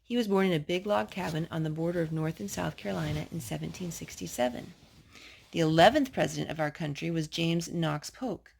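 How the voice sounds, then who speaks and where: A woman with a slightly husky voice reading a children's picture book aloud as a storytime host. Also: low voice, fairly quick, a little mellow.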